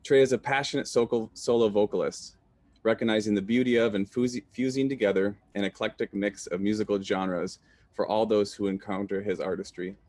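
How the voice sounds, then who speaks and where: A man's voice: lowish voice, fairly even intonation, fairly quick, darkish tone, no room sound. A man introducing the keynote speaker on a video call, reading a prepared bio with an upbeat manner.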